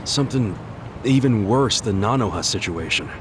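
A man's voice: lowish voice, lively intonation, moderate pace, background noise, lightly acted. An actor playing an earnest, protective man with a hot temper in a video game. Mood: reflective. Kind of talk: English video game cutscene dub. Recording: music behind the voice.

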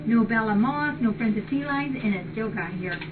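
A woman's voice: fairly high pitch, expressive, normal speed. An older woman chatting casually and appreciatively as she hosts a live webcam stream and reads things aloud. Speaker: cat rescue shelter host. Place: large indoor cat shelter room. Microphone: distant fixed webcam. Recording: muffled, noisy webcam audio from far off.

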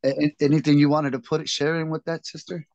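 A man hosting a reading group, reading a text aloud in an earnest, steady way and then leading the discussion over a video call. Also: on the high side, a little fast. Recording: dull, dry lo-fi video call audio.

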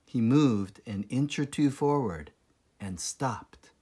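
A man narrating a children's storybook aloud in a dramatic, deliberate manner with suspenseful pauses. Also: medium pitch, animated, mellow, husky. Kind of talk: expressive children's storybook reading.

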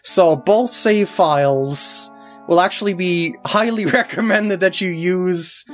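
A man's voice: high-pitched, slow pace, soft background music. Casual young male game commentator. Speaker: gaming walkthrough commentator.

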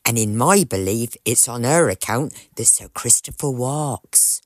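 An actress playing an anxious, deferential old British housekeeper who confides gossip in an audio drama. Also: female voice, extremely low pitch, lively intonation, unhurried, no room sound, studio quality, heavily acted. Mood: confiding, earnest. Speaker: actor.

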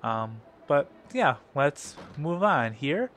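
A man's voice: fairly high pitch, slow, slight background noise. A man with a cold, casually commenting as he plays a game, hesitant and full of ums. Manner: relaxed, hesitant, lots of ums. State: has a cold. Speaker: let's play host.

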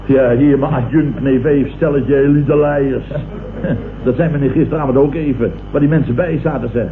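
Actor as pompous blustering boss, old radio. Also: male voice, mid-range voice, steady tone, moderate pace, phone-quality sound, lightly acted. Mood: exasperated. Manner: pompous, repeats others' words mockingly. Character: blustering, indignant self-satisfied company boss and uncle. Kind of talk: 1970s Dutch radio comedy acting. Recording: noisy, roomy old radio broadcast recording.

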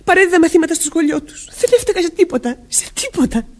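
A young wife in a radio play. Her voice is very high and full of feeling, anxious and tender by turns. She halts and repeats words breathlessly. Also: animated. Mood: distraught, regretful. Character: young married woman, anxious, tender, emotional. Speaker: radio drama actress. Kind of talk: Greek radio play acting.

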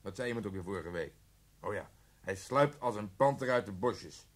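An actor playing an irritable, sarcastic police officer in a radio drama. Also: male voice, fairly low pitch, expressive, moderate pace. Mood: sarcastic, exasperated. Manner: sarcastic, grumbling. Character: irritable, exasperated gruff male police officer. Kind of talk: Dutch radio drama acting.

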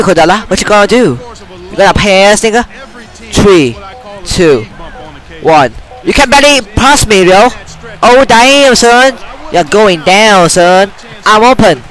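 A young man on a headset mic giving excited, trash-talking commentary while playing a basketball video game in a small room. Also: high-pitched, rough recording. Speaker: gamer.

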